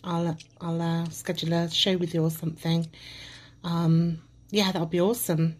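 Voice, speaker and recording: Woman, lowish voice, hesitant, polite, lots of ums. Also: little pitch movement, normal speed, darkish tone. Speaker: listener.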